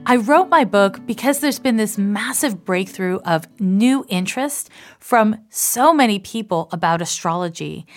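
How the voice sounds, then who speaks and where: A middle-aged woman from Canada whose accent blends Canadian and American sounds. She talks easily and at length, with a mid-range voice and a precise, particular way of shaping her words. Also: medium pitch. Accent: mix of Canadian and American. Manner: particular, deliberate enunciation; chatty, self-described talker. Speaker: astrologer and author. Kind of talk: reflective personal monologue.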